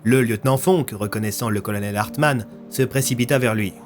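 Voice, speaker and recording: A man's voice: mid-range voice, fairly quick, over music. A man narrating a scripted audio story as its storyteller.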